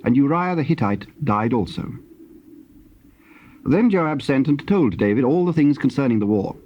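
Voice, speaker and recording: A man with a British accent narrating scripture in a solemn, measured storytelling style. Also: medium pitch, animated. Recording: dull, hissy old cassette tape.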